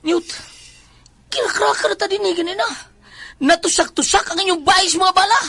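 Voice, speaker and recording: Extremely high sharp voice, nosy excitable companion, Filipino accent, exclamatory, horror audio drama. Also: male voice, a little fast, creaky, full character voice. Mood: insistent, curious. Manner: exclamatory, insistent questioning.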